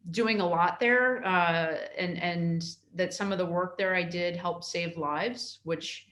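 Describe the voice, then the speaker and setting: A middle-aged woman from New York, a consul answering interview questions in a halting way, with many fillers and restarts. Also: on the low side, a bit bright, roomy sound. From New York, United States.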